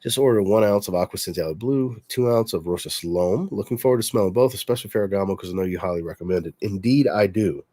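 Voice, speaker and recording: A man's voice: low-pitched, quick pace, few pauses, no echo. Congested man chatting on a livestream. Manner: relaxed, reads chat questions aloud and answers conversationally. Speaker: fragrance reviewer answering live chat. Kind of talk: casual livestream chat.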